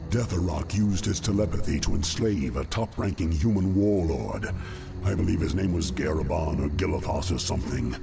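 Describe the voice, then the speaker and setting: Game villain role: contemptuous dreadlord, gravelly bass, formal and sly. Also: male voice, normal speed. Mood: scheming. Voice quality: deep, creaky. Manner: sardonic, formal. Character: scheming male demon dreadlord, disdainful, silky servant. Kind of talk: video game cutscene acting. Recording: game audio under clear music.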